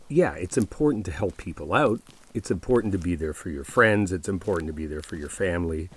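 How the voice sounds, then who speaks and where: A man playing a caring, laid-back best friend in an ASMR roleplay, speaking conversationally with hesitant, reflective pauses. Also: sits low, husky.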